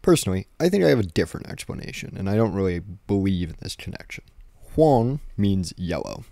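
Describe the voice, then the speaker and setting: Young male essay narrator, low register with heavy fry, close and dry recording. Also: warm tone, no echo. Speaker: video essay host. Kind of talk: scripted commentary narration. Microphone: close dry voice-over microphone.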